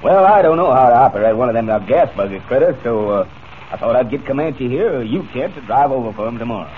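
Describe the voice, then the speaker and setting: An actor playing a genial, rambling old-west ranch hand with a folksy cowboy drawl in a 1940s radio serial. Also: male voice, mid-range voice, animated, fast, lightly acted. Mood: cheerful, folksy. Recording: tinny, noisy 1940s radio broadcast.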